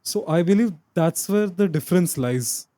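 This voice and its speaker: A man's voice: high voice, animated, normal speed, dark tone, dry recording. A man hosting an interview, speaking in a hesitant way with many fillers. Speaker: podcast host. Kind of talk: interview questions.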